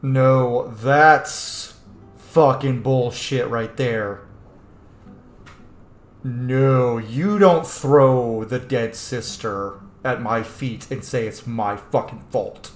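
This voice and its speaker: Slow-paced man commenting casually in a roomy space. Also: mid-range voice, faint music behind. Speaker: streamer playing a role-playing game. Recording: roomy echo, faint background noise.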